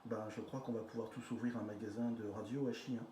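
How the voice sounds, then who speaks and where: A man with a dark, relaxed, mid-pitched voice chats casually as a radio operator. The sound is very roomy, with a little background noise. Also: fast talker. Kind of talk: casual radio group exchange. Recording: roomy echo with faint noise.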